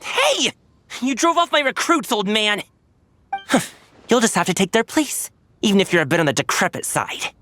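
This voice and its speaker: A woman's voice: mid-range voice, very animated, normal speed, pauses often, pushed and bright. A woman voicing a brash, bossy possessed youth in a game, with a pushy, cocky, slangy delivery. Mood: exasperated. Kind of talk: game cutscene acting.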